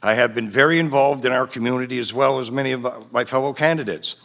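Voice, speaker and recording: A man, a city council candidate, giving a formal, deliberate opening speech to the room. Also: medium pitch, a bit bright. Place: council chamber debate.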